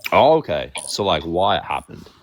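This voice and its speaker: A man's voice: deep voice, sing-song, moderate pace, a little hiss or hum. A man calling into a stream, speaking hesitantly with many fillers. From Washington State, raised in Australia. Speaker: caller.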